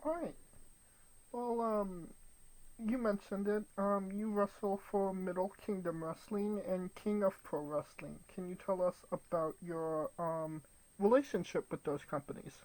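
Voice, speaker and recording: A woman's voice: medium pitch, unhurried, darkish tone, poor audio. Lady interviewer, casual, short friendly questions. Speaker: interview host.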